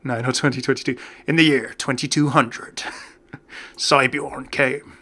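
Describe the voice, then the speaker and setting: Bright, gravelly British man commentating casually. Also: mid-range voice, steady tone, measured pace. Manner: chatty, easily amused. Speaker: gaming video host. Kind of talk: casual off-the-cuff game commentary.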